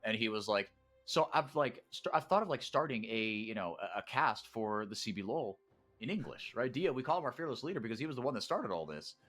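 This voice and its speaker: Bright-voiced man calling esports action. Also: mid-range voice, brisk, faint music behind. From Brazil. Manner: excitable, rising to shouts in big plays. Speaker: play-by-play caster. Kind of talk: esports play-by-play commentary.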